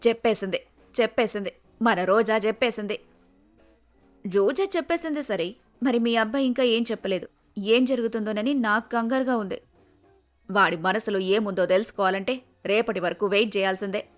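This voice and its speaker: A woman's voice: medium pitch, normal speed, long pauses, soft background music, lightly acted. Feisty middle-aged mother-in-law, acted by a woman. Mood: excited, anxious.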